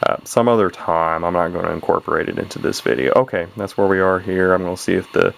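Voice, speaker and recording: A man with a deep voice full of gravelly fry talks through hobby repair work. He rambles in a folksy, off-the-cuff way and corrects himself as he goes. It sounds like a handheld camera home video with faint background noise. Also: fast, fairly bright tone. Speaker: hobbyist radio repairer presenting his own video. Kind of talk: casual hands-on repair narration.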